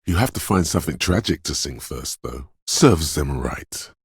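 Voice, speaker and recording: Super deep raspy inner voice in a video game, dreamy and ominous, slow hushed theatrical delivery. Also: male voice, expressive, no room sound, studio quality, full character voice. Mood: brooding, insistent. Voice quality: very deep, husky, gravelly whisper-edged rumble. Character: mysterious inner voice of a detective's intuition, brooding, dreamlike. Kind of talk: dramatic video game voice acting. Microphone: close, dry studio microphone.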